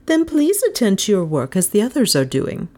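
A woman narrator plays a stern, exacting schoolmistress in a children's storybook. She speaks formally and in clipped phrases, lifting her pitch as she coolly shames a pupil. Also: big pitch swings, normal speed, mellow. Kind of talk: children's audiobook character voice.

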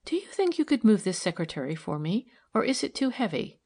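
A woman's voice: medium pitch, big pitch swings, fairly quick, creaky, darkish tone, slightly rough, pitched above the performer's own voice, heavily acted. A woman voicing a shrewd, composed, friendly lady investigator in an audiobook, with a light, careless manner. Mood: careless, doubtful.

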